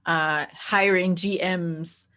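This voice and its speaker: A woman talking casually as an interview guest about her work as a game master, telling it in an animated, conversational way. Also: on the low side, very slow. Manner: animated storytelling that acts out quoted dialogue, frequent "like".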